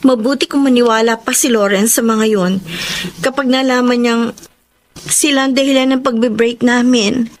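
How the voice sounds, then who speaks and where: A woman's voice: fairly high pitch, steady tone, normal speed, a little hiss or hum, natural voice. An actress playing a heartbroken, remorseful young woman in a Filipino radio drama, emotional and earnest. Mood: hurt, stubborn. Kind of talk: Filipino radio drama acting with letter narration.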